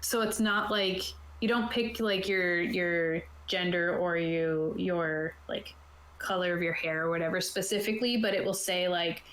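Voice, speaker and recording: A woman's voice: lowish voice, fairly even intonation, measured pace, fairly bright tone. A woman casually explaining tabletop game rules and reading rulebook passages aloud, as a game master on an online call. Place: indoor room, online video call. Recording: roomy, echoey home recording.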